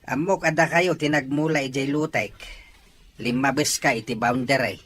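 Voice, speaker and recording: A man's voice: mid-range voice, moderate pace, fairly bright tone, lightly acted. A man with an Ilocano accent playing a patient, fair-minded farmer father in an audio drama. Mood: firm, conciliatory. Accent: Ilocano, from the Philippines.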